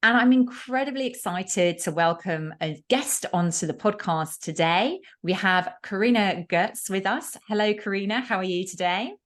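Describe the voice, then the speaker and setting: A woman's voice: medium pitch, normal speed, few pauses, a bit bright. A woman hosting her podcast, welcoming and questioning a guest in an enthusiastic, effusive way. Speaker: podcast host.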